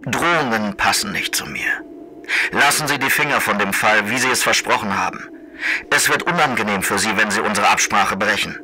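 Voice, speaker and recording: Cold-mannered, threatening prosecutor for radio play; formal, admonishing, piercingly forceful delivery. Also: male voice, lowish voice, expressive, normal speed, slight background noise. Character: menacing, condescending male federal prosecutor. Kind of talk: German radio play acting.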